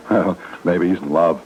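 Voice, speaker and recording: A man's voice: sits low, slow. An actor playing a drily mocking, middle-aged stepfather in old radio suspense style. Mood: needling. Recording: muffled, noisy old radio broadcast.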